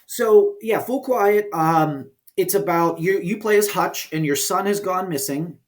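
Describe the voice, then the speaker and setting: A man with a slightly high voice speaks as an interview guest. His talk is casual and explanatory, with informal, halting phrasing as he pieces his thoughts together. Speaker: guest, indie game developer and producer.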